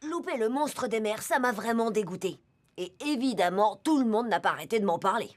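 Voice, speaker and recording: A performer voicing a scheming, cheeky young boy who confides in an animated way, in French cartoon dubbing. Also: medium pitch, brisk, natural voice. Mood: dramatic, aggrieved. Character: scheming young boy, cheeky narrator of his own pranks. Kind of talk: French cartoon dubbing, first-person narration.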